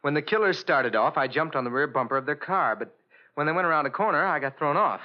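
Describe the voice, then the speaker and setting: A man's voice: fairly high pitch, animated, fast talker, close to an everyday voice. An actor playing an earnest, straightforward male witness telling his account in an old radio crime drama. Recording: dull old radio recording.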